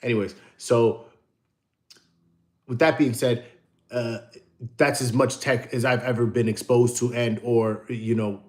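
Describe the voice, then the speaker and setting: An American man hosting a casual livestream, telling long, digressive stories and answering the chat. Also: mid-range voice. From United States. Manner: rambling, digressive storyteller. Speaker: livestream host; tattoo artist.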